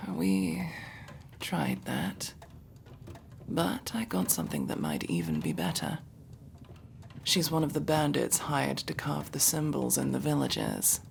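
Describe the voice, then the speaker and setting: A woman playing a guarded but tender elf mercenary in intimate ASMR roleplay, slow and halting, with a creaky voice that often drops to a whisper. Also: rumbling low, slight background noise, heavily acted. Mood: weary, matter-of-fact.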